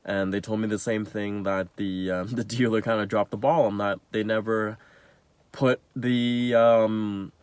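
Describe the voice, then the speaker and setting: A man's voice: on the low side, fairly even intonation, a little slow, a little mellow, smooth, dry recording. A young man from Canada, a little sick and nasal, rambling about a mix-up in a casual vlog with lots of fillers and restarts. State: sick, under the weather. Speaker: vlogger.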